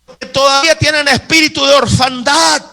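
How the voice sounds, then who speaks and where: High-pitched, forceful male preacher. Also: fairly even intonation, fairly quick, creaky, soft background music. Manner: emphatic, repeats phrases insistently; acts out Bible dialogue. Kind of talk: sermon to a live congregation.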